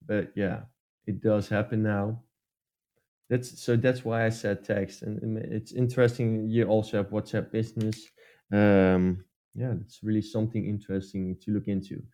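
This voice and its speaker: A man with a Dutch accent gives advice in a loose, halting way, full of "uh" and "like" and restarts. His voice sits fairly low and sounds mellow and dark. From Netherlands. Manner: hesitant, many fillers and restarts. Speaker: podcast co-host. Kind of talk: casual advice chat.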